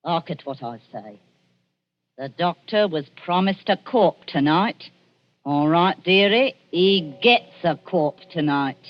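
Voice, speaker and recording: Casting: crabby old Cockney crime mistress, scolding and wheedling, period radio melodrama, muffled band-limited sound. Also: female voice, fairly low pitch, expressive, slow pace. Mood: sullen. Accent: English, working-class Cockney. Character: crabby old hag, criminal corpse-trade mistress. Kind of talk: melodramatic radio drama acting.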